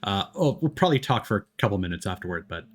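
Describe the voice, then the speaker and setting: A man's voice: lowish voice, very animated, quick pace. A man streaming a game, chatting casually and hesitantly as he answers viewers and drifts into asides. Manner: hesitant, digressive, replying to viewers. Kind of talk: casual live-stream chat.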